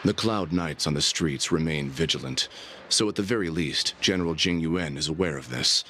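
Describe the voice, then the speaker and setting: A man's voice: rumbling low, normal speed, sharp tone, slight background noise. A man playing a reserved, dutiful military aide in a video game, dry and stoic. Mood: reserved, matter-of-fact. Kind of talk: scripted video game voice acting.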